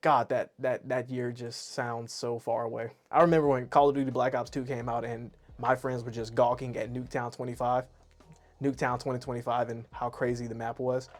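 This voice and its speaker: Male vlogger, mid-pitch, casual rambling delivery, pokes fun at himself. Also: little pitch movement, brisk, faint music behind. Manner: rambling, self-deprecating, chatty. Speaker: YouTube artist and animator. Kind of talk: casual year-review monologue to camera.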